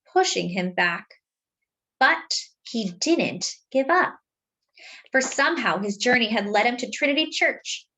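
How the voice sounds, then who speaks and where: Female storyteller for children's read-aloud: higher-pitched, very animated intonation, deliberate dramatic phrasing. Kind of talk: expressive picture book read-aloud.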